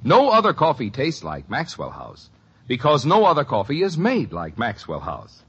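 A muffled vintage radio recording of an American male announcer. His rough, husky voice swoops dramatically as he delivers a persuasive, emphatic sales pitch. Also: wildly swooping pitch, moderate pace, a bit bright. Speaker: announcer and commercial spokesman. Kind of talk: 1950s radio advertising pitch.

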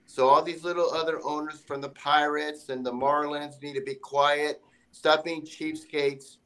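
A man's voice: fairly high pitch, fairly bright tone, low-quality recording. A man on a sports talk panel making his case emphatically in short, punchy sentences.

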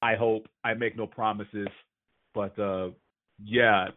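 A man's voice: on the low side, level tone, slow. A man co-hosting a casual sports podcast, going over injury news and power rankings. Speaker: podcast co-host. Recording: tinny phone-like dry sound.

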